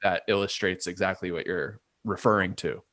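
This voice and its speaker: A man's voice: low-pitched, steady tone, moderate pace, a bit bright, no room sound, clean studio sound. A man, a guest in a casual interview, thinking aloud and weighing ideas from many angles. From Port Chester, New York. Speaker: guest, artist and designer.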